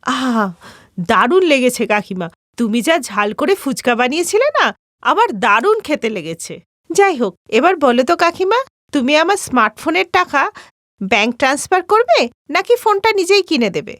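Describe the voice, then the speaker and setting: A woman's voice: high voice, very animated, normal speed, a bit bright, no echo, studio quality. A woman voicing a confident, cheeky young daughter-in-law in a cartoon story, chatty and cajoling. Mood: cheerful, triumphant.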